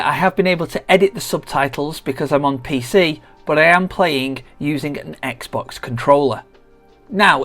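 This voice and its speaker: A gaming channel host narrates a scripted video essay at a middling pitch. The delivery is measured and explanatory, point by point. Music plays behind the voice. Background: background music.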